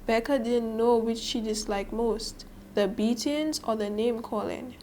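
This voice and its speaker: A teenage girl from Saint Lucia with a Saint Lucian accent reads aloud in a fairly high voice. Her delivery is scripted, careful and emphatic. There is slight noise in the recording. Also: fairly even intonation, unhurried, mellow. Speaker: student reader. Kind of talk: scripted reading aloud and commentary.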